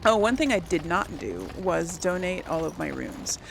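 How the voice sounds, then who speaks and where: Female let's play host, creaky voice, casual thinking-aloud delivery, faint noise behind. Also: lowish voice, big pitch swings, moderate pace, low-quality recording. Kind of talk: casual gameplay commentary, talking to viewers.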